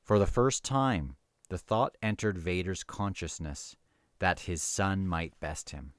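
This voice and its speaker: A man casually talking about and reading from a novel, earnest and somewhat halting as he thinks aloud. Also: low-pitched, dry recording. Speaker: book reviewer and reader. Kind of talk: casual book discussion mixed with read-aloud novel passages.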